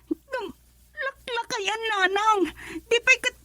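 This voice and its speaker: A young daughter in a radio drama, with a very high, girlish voice. She has an Ilocano accent. She sounds reluctant and plaintive, protesting against pressure. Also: slow, pushed and bright, dry recording, exaggerated voice. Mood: pleading.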